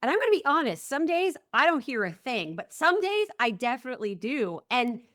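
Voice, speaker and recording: Female podcast host, slightly high voice, dry close sound, sincere and reflective. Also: very animated, fairly quick, dry recording. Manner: earnest and reflective, lots of warm affirmations. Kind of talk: conversational podcast interview.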